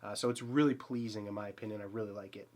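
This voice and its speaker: A man's voice: fairly low pitch, steady tone, racing, a little mellow. A man in his thirties with a Boston accent, talking casually and eagerly to camera about a portrait lens in a small dim home studio, rambling with fillers and restarts. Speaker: photography channel host. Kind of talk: casual gear review to camera.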